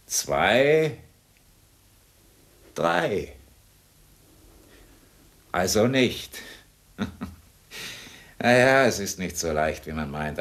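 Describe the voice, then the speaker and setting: An actor playing a cool, sardonic escaped convict in a German radio crime drama, mocking and coaxing with cunning politeness. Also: male voice, a bass voice, a bit bright, full character voice. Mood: taunting.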